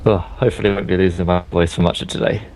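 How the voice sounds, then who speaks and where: Hoarse man casting a game. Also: low voice, flat delivery, racing, darkish tone. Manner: rambling, many fillers and restarts. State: losing his voice. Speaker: esports caster. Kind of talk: casual game commentary.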